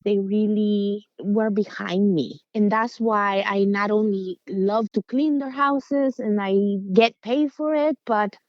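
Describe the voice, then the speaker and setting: Slow, earnest woman from Guatemala. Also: medium pitch, steady tone, no echo. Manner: earnest, heartfelt, simple second-language phrasing. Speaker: listener commenting. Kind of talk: personal testimony. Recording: dull lo-fi recording.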